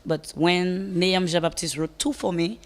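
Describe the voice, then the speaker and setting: Lowish-voiced Saint Lucian woman. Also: fairly even intonation, a little slow. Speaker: interview guest, singer. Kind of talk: casual interview chat.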